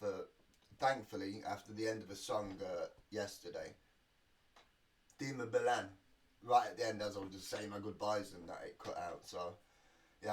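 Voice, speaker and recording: A man hosting a reaction video in casual, rambling chat, sounding a little sniffly from a cold. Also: fairly low pitch. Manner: rambling, self-deprecating asides. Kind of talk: casual chatty commentary. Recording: distant mic with faint noise.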